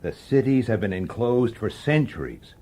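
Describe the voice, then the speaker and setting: Sceptical cop, low husky voice, blunt, hot-headed, animated delivery, radio drama. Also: male voice, moderate pace. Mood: incredulous. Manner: blunt, incredulous, argumentative. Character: sceptical, blunt, hot-headed human male police detective.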